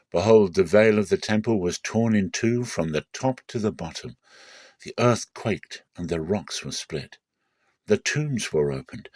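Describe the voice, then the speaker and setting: A man narrating a Bible passage as a measured, deliberate dramatic scripted reading. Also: sits low, dry recording.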